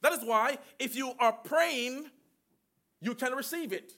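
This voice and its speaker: A man preaching a sermon with emphatic call-and-response and slow, drawn-out phrases. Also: extremely high pitch, sharp tone.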